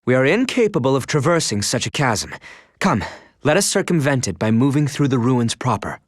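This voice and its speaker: A man's voice: medium pitch, very animated, normal speed, no room sound, clean studio sound. A man voicing a dutiful, stern young attendant to royalty in a video game, speaking in formal, archaic phrasing. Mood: measured, cautionary. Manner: formal, archaic, courtly diction. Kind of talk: video game character voice lines.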